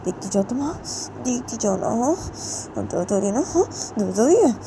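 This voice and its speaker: A woman's voice: on the high side, very animated, fairly quick, background noise, voice pushed up. A woman voicing a domineering young woman who speaks in mocking, demanding questions. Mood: insistent.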